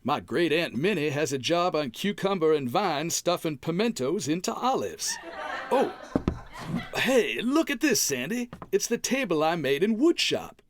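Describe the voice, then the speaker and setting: A man's voice: on the high side, very animated, a little slow, a little hiss or hum. An American man playing a bumbling, cheerful packrat husband in old-time radio comedy style, folksy and hemming as he makes excuses. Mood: cheerful, sheepish.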